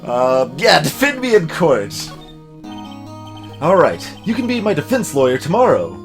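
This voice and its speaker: A man gives let's play commentary in a highish voice that swings widely in pitch. He jokes and digresses as he reads game dialogue, with faint music playing behind him. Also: unhurried. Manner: animated, joking, digressive. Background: soft game music.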